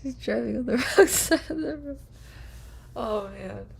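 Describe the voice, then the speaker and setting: A young woman streamer chatting softly and casually to viewers, with a whispery, giggly, playful manner. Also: a little high, animated, unhurried, gravelly, breathy edge. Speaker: live streamer. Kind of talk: soft, casual sleep-stream chat with viewers.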